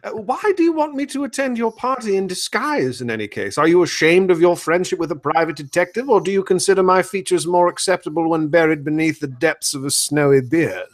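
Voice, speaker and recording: A man playing a genteel, affable gentleman detective, stammering and theatrically courteous, in an old-time radio drama spoof. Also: a little high, animated, brisk, hardly any pauses, lightly acted. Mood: amused, curious.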